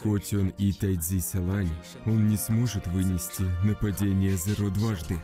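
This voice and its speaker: A man playing a serious, authoritative martial guardian in a dubbed animated fantasy, stern and instructive. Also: low-pitched, unhurried, music in the background. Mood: grave, urgent.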